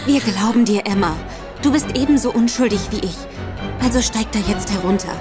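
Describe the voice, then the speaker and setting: A woman's voice: fairly high pitch, fairly quick, over music, natural voice. A woman playing a composed, persuasive investigator in a radio drama, earnest and reassuring.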